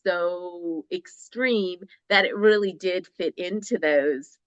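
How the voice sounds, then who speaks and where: A woman's voice: on the low side, slow, no room sound, dull audio. A woman in her fifties, a romance author talking casually and enthusiastically in an interview, laughing now and then and restarting her sentences.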